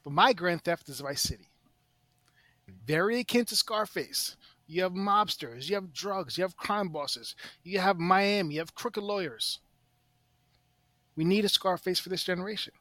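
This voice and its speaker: A man's voice: sits high, normal speed, bright, forceful tone, no room sound. A man chatting casually on a panel podcast, laying out points in emphatic, repeated phrases. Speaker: podcast contestant presenting a ranked list.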